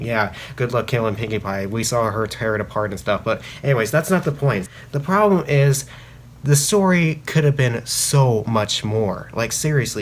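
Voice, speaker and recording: A man hosting a review series, giving casual, opinionated commentary and emphatically repeating phrases for stress. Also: a little high. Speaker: host of a fan fiction review series. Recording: clearly noisy amateur recording.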